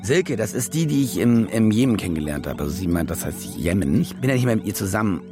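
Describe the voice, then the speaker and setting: An actor playing a cynical, self-justifying German war reporter in a radio drama, wry and rambling, with hesitations and restless bursts. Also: male voice, lowish voice, sing-song, fast, over music. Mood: rambling, confiding. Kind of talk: naturalistic radio drama monologue and dialogue.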